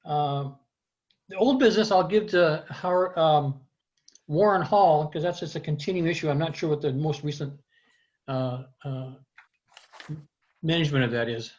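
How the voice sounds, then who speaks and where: An American man chairing an online committee meeting in an informal, halting way, with muffled sound. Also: a little high, a little mellow. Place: remote video meeting.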